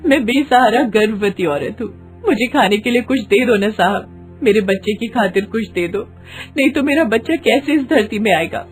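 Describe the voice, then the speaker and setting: A woman's voice: on the high side, expressive, normal speed, faint music behind, close to an everyday voice. A woman voicing a destitute, devout young pregnant wife in a plaintive, beseeching way for a story. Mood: pleading.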